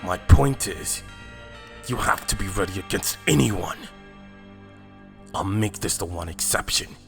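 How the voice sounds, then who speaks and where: A man's voice: sits low, animated, a little slow, gravelly, music in the background, heavily acted. A man playing a stern, hot-tempered Saiyan warrior mentor in an anime fan drama, harsh and commanding. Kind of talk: fan-made anime roleplay voice acting.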